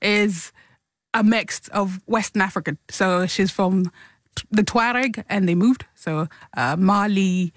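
A woman's voice: medium pitch, takes it slowly, no echo. Woman guest, laughs a lot, upbeat chatty, dry recording. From London. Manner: laughs often, lively and playful. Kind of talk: casual interview chat.

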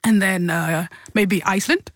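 A woman's voice: medium pitch, measured pace, fairly bright tone. Playful woman, laughing often. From London. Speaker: guest. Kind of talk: casual interview chat.

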